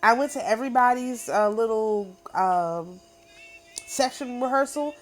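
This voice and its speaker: A woman's voice: on the high side, measured pace, soft background music, low-quality recording. A middle-aged woman talking casually to camera in an emphatic, repetitive, boastful way. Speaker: spiritual channel host. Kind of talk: casual spoken monologue.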